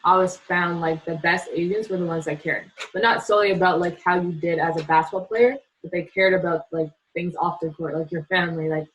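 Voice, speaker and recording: Low-voiced woman co-hosting, dull sound. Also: steady tone, fairly quick, hardly any pauses, a little hiss or hum. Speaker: former Olympian basketball player, co-host. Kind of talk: casual interview questions.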